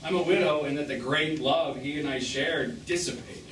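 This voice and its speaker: A man's voice: fairly high pitch, normal speed, a bit bright, close to an everyday voice. Echoey, distant actor as wounded middle-aged man. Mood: wistful, mournful. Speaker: stage actor. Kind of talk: live stage drama acting. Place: small theatre, recorded from a distance. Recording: echoey, noisy stage performance recorded from afar.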